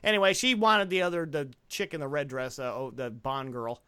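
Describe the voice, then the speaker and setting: A man's voice: mid-range voice, fairly even intonation, a little fast, sharp tone, clean tone, no echo. A man hosting a podcast, reviewing a film in a casual, comedic, crude and opinionated way. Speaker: podcast host.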